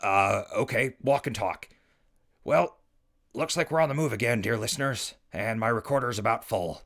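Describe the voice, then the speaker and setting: An actor playing an easygoing, folksy wasteland radio reporter in an audio drama, informal and wry. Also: male voice, medium pitch, normal speed, a bit bright, natural voice. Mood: wry, companionable. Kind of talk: post-apocalyptic audio drama.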